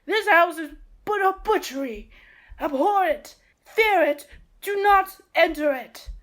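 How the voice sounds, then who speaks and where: Woman playing an anxious old servant man, high-voiced. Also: lively intonation, a bit bright, heavily acted. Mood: alarmed, pleading. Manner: earnest, emotive, slow declamation. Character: devoted old male servant, anxious and loyal. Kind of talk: Shakespearean radio play acting.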